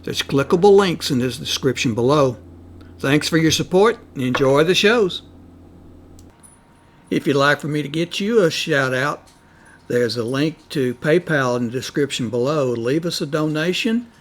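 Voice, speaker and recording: A man's voice: fairly high pitch, expressive, moderate pace. A man giving a casual promotional message as a channel host.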